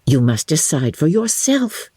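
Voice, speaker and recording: A woman voicing a spirited, sharp-witted young gentlewoman for an audiobook, frank and assured with a wry edge. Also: low voice, very animated, unhurried, breathy edge, no room sound, clean studio sound, heavily acted. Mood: wry, firm. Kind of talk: dramatic audiobook character reading.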